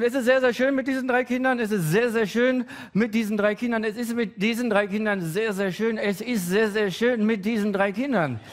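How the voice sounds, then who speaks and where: A man in his forties doing a stand-up comedy routine with halting, hesitant delivery and deadpan timing, full of pauses and fillers. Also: high voice. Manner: deadpan, growing excited and loud toward the end.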